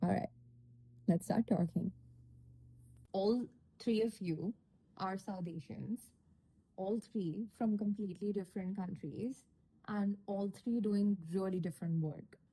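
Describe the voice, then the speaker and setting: A woman's voice: medium pitch, a little slow, pauses often, mellow, husky, low-quality recording. A woman hosting a podcast panel, speaking in an informal, conversational and reflective way. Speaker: podcast host.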